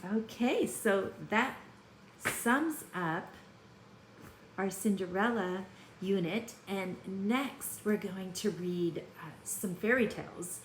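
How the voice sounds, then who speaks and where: Woman, primary school teacher, unhurried pace, read-aloud lesson for young kids, questioning manner, roomy echo. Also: medium pitch, a little hiss or hum. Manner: talks to young children, questioning. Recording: echoey room.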